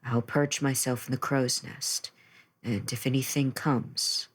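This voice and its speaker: A man voicing a small, protective female winged creature in a tabletop game, speaking gently and earnestly. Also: medium pitch, fairly even intonation, a little slow, husky. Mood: earnest, watchful.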